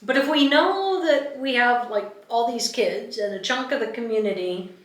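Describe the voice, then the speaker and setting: A woman's voice: fairly high pitch, expressive, normal speed. A middle-aged woman co-hosting a podcast, chatting casually and conversationally about matters of faith. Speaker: podcast co-host. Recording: roomy echo, distant from the mic.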